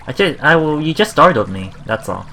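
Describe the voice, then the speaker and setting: A man hosting a let's play, reading game text aloud and adding halting, musing casual commentary, with water sounds behind him. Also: fairly high pitch, very animated, pushed and bright, faint music behind.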